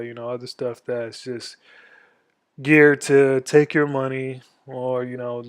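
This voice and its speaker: A young man in his twenties from Florida talks through a step-by-step tutorial, pausing often with ums and restarts. He sounds stuffed up from a cold, and his delivery stays flat and level. The recording is dry, with no room echo. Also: medium pitch. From Florida, USA. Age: 20s. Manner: halting, many ums and restarts. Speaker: army veteran giving a tutorial. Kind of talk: casual screen-share tutorial.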